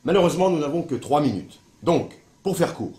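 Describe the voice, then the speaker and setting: A man's voice: fairly high pitch, lively intonation, fairly quick, crowd noise, natural voice. A man playing a pragmatic, matter-of-fact local in a scripted promotional dialogue. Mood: wry. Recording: roomy echo, music behind voice. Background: music.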